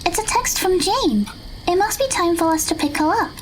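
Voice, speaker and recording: A woman's voice: very high voice, lively intonation, moderate pace, slight background noise. A woman playing a young girl in an audio drama. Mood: hesitant. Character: young girl among a group of friends.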